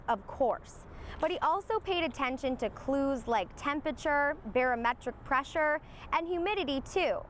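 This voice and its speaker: A woman reading a children's storybook aloud in an expressive storytelling manner. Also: sits high, a little hiss or hum. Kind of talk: scripted storybook narration for young children.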